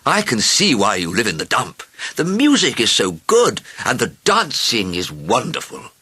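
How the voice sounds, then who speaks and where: Storybook king, played by a male narrator: short, eager, wilful, with very animated, raised pitch. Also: a little slow, husky, dry recording, exaggerated voice. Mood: delighted. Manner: exclaiming, enthusiastic.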